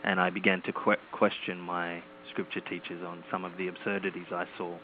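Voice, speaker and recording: A man with a very deep, flat, even voice, answering interview questions calmly with a few ums. He comes through a tinny phone line with faint hiss behind him. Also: brisk. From Australia. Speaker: author, interview guest.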